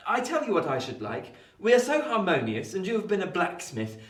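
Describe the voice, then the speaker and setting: An actor playing a genial, well-bred young London gentleman, polite, tactful and chatty, in a radio play. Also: sits high, wildly swooping pitch, fairly quick, far from the mic. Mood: cheerful. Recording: echoey room, off the mic.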